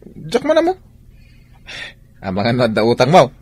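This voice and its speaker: A man's voice: mid-range voice, huge pitch swings, moderate pace, darkish tone, slightly rough. Actor as a teasing middle-aged godfather, jovial, radio drama. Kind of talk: Ilocano radio drama acting.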